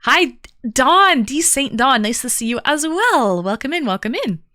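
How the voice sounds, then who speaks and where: A woman's voice: high-pitched, very animated, unhurried, sharp tone, no room sound, studio quality. A talkative woman streaming live, chatting casually and greeting viewers one by one in an upbeat way. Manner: talkative, calls herself a yapper.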